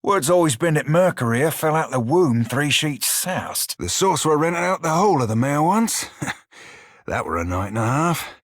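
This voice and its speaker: Husky, roguish. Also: male voice, on the high side, very animated, normal speed, no room sound, studio quality. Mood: wry, reminiscing. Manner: salty sailor slang, heavy swearing, wry teasing. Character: roguish blue male pirate shiphunter, crude, jaunty, irreverent. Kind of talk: video game character voice lines.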